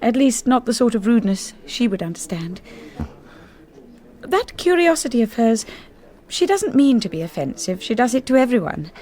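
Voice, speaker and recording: An actress playing a shy young lady's companion in a radio drama, gentle, hesitant and well-spoken as she narrates and converses. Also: on the high side, sing-song, moderate pace. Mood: shy, flustered. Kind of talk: radio drama acting and first-person narration.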